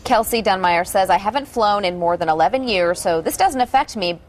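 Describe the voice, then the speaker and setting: A woman's voice: mid-range voice, lively intonation, brisk. A woman with an American accent, a TV news co-host chatting in a casual, colloquial way on air. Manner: colloquial, conversational. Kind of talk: casual on-air panel chat. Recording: clearly noisy background ambience.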